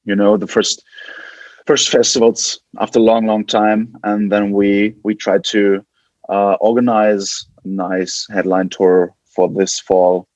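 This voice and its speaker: A man's voice: fairly low pitch, flat delivery, a little slow, a little mellow, no echo. A man with a German accent, a musician chatting casually as an interview guest on a dry, slightly dull recording. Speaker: metal band guitarist, podcast guest. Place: indoors on a couch, remote interview.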